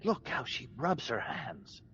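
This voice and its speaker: A man playing a grave, pious, watchful doctor in a Shakespearean radio drama, speaking with measured, formal concern. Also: mid-range voice, wildly swooping pitch, gravelly, fairly bright tone, muffled recording, slight background noise, heavily acted. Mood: observant, concerned.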